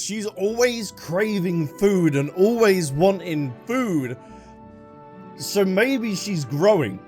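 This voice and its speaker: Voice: medium pitch, a little mellow. A gaming streamer giving casual commentary while playing. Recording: game music under the voice. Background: game music.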